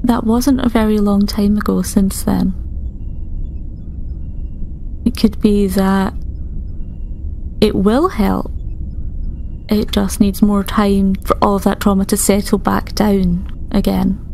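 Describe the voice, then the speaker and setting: A woman playing a tactful starship doctor in improvised tabletop roleplay, speaking in a measured, hesitant, gently clinical way. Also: medium pitch, level tone, vocal fry, slight background noise. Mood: calm, thoughtful. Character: calm, tactful female starship doctor reassuring a patient.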